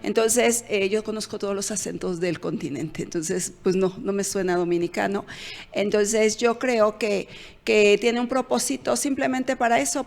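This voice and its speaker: A woman's voice: medium pitch, creaky. A woman co-hosting a political talk show, giving opinions with fillers and self-corrections. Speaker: show co-host. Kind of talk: political commentary chat. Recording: long reverb.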